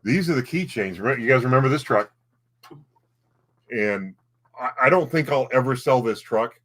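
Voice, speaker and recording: A man co-hosting a casual home livestream, talking in halting bursts and often repeating his words. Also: mid-range voice, animated, a bit bright. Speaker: livestream co-host, collectibles seller.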